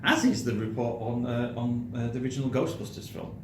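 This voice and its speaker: A British man hosting a film review show in casual chat, speaking haltingly and often repeating his words. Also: mid-range voice, big pitch swings. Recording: roomy echo, clearly audible background noise.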